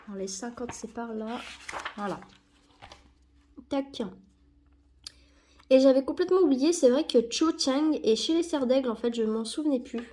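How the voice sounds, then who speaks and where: A woman's voice: high voice, expressive, normal speed, long pauses, mellow, a little hiss or hum. A young woman with a cold, chatting casually and correcting herself with many fillers as she sticks stickers into an album. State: has a cold. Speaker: YouTuber opening sticker packs.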